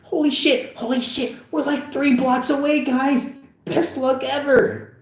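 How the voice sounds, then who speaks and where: A man voicing an overexcited, shrill teenage boy in a read-aloud script. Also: steady tone, measured pace. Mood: giddy. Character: hyper excitable teenage boy, squeaky and giddy. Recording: roomy echo.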